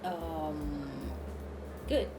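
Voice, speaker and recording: A woman with a low, dusky voice chats in English over a video call, hesitant and giggly, leaning on fillers. Her voice rings in a roomy space, and clear music plays underneath. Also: very slow, warm tone. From Thailand. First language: Thai. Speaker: channel host chatting with strangers. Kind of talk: casual video chat. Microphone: online video call.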